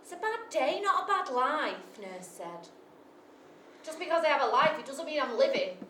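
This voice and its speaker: A woman's voice: sits high, very animated, moderate pace, pushed and bright, recorded from a distance, a little hiss or hum, heavily acted. An actress performing a monologue as a volatile mother with bipolar disorder, raw and given to swearing. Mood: despairing. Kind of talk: theatrical monologue. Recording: echoey stage recorded from afar.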